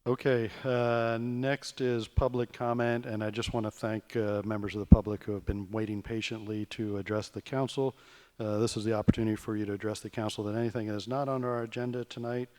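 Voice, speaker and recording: Low-voiced meeting chair, plainspoken and procedural. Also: male voice, little pitch movement, brisk, a little mellow. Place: council chamber.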